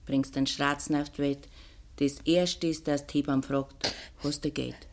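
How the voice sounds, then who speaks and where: A woman's voice: sits low, flat delivery, measured pace. An actress playing a worldly-wise, bitter, poor Bavarian woman in a lockup, earthy and sardonic, in a 1950s radio drama. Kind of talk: 1950s German dialect radio drama acting.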